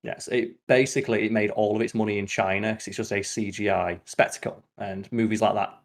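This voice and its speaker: Low-pitched sweary man chatting. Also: fairly even intonation, brisk. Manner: blunt, sweary, opinionated. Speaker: podcast host. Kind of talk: casual podcast chat.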